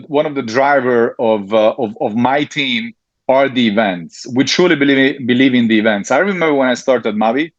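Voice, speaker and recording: Brief: Hungarian-accented man, guest in an interview, halting, full of ums, dry lo-fi audio. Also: medium pitch, dry recording. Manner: hesitant, frequent ums and restarts. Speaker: network marketing leader, guest. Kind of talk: interview answers.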